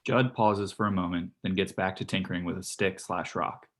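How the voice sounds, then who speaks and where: A man with a deep voice reads stage directions for a play. His delivery is scripted narration, set apart from the acted dialogue. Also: steady tone, normal speed.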